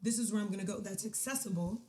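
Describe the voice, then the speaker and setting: A woman from the DMV area, guesting on a podcast, telling stories in an outgoing, animated way and asking "right?" over and over. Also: medium pitch, quick pace. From United States, DMV area. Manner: laughs often. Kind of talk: casual chat.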